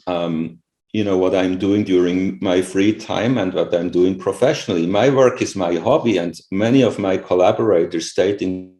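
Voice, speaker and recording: A man's voice: low voice, warm tone. A middle-aged Swiss man with a Swiss accent, not a native English speaker, answering interview questions in a thoughtful, conversational way with frequent "you know" and "right?" asides. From Switzerland. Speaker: interviewed scientist. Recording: echoey room.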